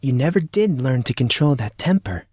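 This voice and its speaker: A man's voice: mid-range voice, expressive, moderate pace, a little mellow, no room sound, clean studio sound, pitched above the performer's own voice, lightly acted. A man voicing a weakened, evasive teenage girl in an audiobook, with a taunting, sneering manner. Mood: smug, weak. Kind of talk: audiobook character acting.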